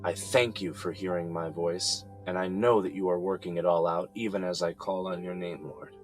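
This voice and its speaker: A man's voice: low voice, fairly even intonation, natural voice. A man playing a jailed son praying. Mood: pleading. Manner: halting, earnest, sighing. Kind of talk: audio drama acting. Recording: music under the voice.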